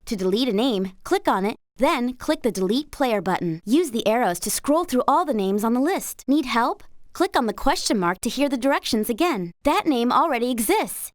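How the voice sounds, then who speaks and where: An actress playing a cheerful, helpful young woman horse rider, guiding a video game player in a clear, upbeat, encouraging way. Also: fairly high pitch, lively intonation, moderate pace, no room sound, studio quality, natural voice. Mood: friendly, instructive. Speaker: game lead character and tutorial guide. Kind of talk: scripted video game instruction and dialogue.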